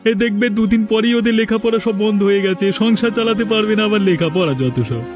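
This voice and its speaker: A man's voice: high voice, fast, music in the background, close to an everyday voice. A man voicing a gossiping, mocking villager in a cartoon, with a scornful way of talking. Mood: gossipy, scornful. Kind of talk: Bengali cartoon dialogue dubbing.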